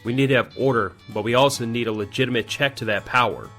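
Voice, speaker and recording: A man's voice: mid-range voice, fast, a bit bright, faint music behind, natural voice. A man playing a principled army major in an audio drama, direct, earnest and businesslike. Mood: earnest, resolute. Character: firm, principled army major leading his men.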